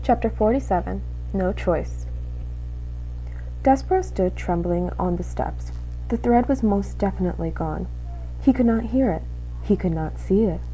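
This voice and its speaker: A young woman reading a children's storybook aloud as an expressive narrator. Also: mid-range voice, measured pace, a little hiss or hum, low-quality recording. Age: young adult. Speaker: student reader.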